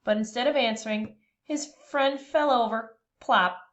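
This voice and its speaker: A woman reading a children's storybook aloud expressively, with dramatic, deliberate pauses between phrases. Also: on the high side, a little hiss or hum.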